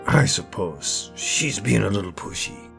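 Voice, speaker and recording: A man plays a grudging, inquisitive tinkerer in an audio drama. He is blunt, with a creaky voice and varied melody over soft music. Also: lowish voice, sing-song, measured pace, full character voice. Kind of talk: amateur audio drama acting.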